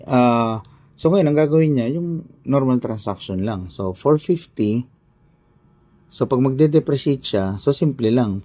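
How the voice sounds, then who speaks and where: A man's voice: mid-range voice, dark tone. A man with a Filipino accent teaching an informal accounting lesson, thinking aloud step by step and repeating himself as he works through an example.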